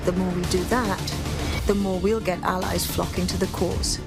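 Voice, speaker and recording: A woman's voice: lowish voice, fairly even intonation, normal speed, lightly acted. A woman voicing a resistance leader in a video game, giving a rallying briefing. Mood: determined. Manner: rallying, purposeful. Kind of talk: video game cutscene dialogue. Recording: game audio with loud music behind.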